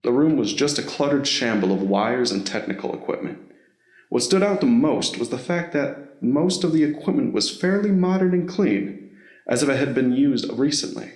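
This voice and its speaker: A man's voice: mid-range voice, expressive, normal speed, darkish tone. A man reading scripted narration for an audio drama story. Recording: roomy, echoing voice recording.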